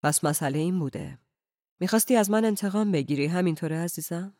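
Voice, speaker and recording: A woman's voice: on the low side, warm tone, no echo, clean studio sound, close to an everyday voice. A woman voicing an agitated middle-aged husband in a novel, insistent and reproachful. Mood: accusing. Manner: insistent, accusing, pleading.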